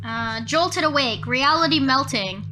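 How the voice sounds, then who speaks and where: A woman's voice: sits high, animated, unhurried, sharp tone. A woman improvising horror story narration as a player in a tabletop game, thinking aloud expressively. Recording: music behind the voice.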